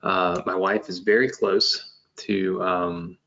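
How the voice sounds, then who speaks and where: A man's voice: deep voice, fairly even intonation, slow pace, creaky, bright, forceful tone, muffled recording. A man in his late thirties hosting a live business webinar, talking loosely with many fillers and restarts. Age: 30s. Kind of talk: casual live-stream talk. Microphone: webcam setup at home desk.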